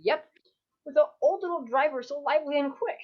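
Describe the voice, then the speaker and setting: A high-voiced young storyteller played by a young woman recounts a Christmas Eve visit as an earnest parent in a radio play. The delivery is dramatic and full of wonder, captured in slightly muffled video call sound. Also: a little fast, natural voice. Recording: dull video call audio.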